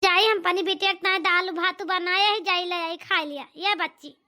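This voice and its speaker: A woman's voice: very high voice, steady tone, normal speed, bright, forceful tone. A woman voicing a doting, hard-working widowed village mother in a cartoon, with an Awadhi accent and a plaintive, coaxing rustic delivery. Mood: coaxing, affectionate. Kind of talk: homemade cartoon comedy drama dialogue.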